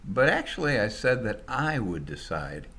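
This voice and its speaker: A composed company owner with exacting standards, voiced by a male storyteller. He speaks politely and firmly in a measured manner, with plenty of movement in his pitch. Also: big pitch swings, gravelly, fairly bright tone, husky, full character voice.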